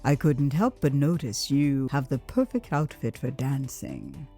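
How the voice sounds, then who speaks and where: Woman voicing a deep, suave gentleman charmer. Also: expressive, a little slow, soft background music, exaggerated voice. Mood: charming, persuasive. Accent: almost American. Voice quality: deep, manly.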